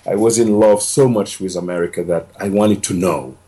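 A man's voice: sits low, moderate pace, mellow. A man, telling his story as a podcast guest in an earnest way, often repeating himself. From Senegal. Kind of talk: casual storytelling interview.

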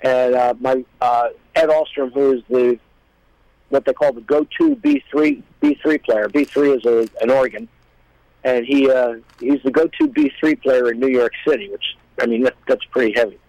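A man's voice: mid-range voice, sing-song, moderate pace, darkish tone, no echo, poor audio. Man rambling in casual, dry-sounding chat. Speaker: guest, musician.

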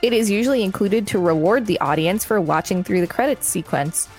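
A woman's voice: medium pitch, lively intonation, fairly quick, darkish tone, rough recording. Woman podcast host reading over music. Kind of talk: reading an article aloud with casual commentary.

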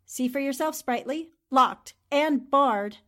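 A woman playing a composed, shrewd newspaperwoman in a 1940s-style radio drama, speaking in a polite, measured way. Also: high voice, a bit bright. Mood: suspicious.

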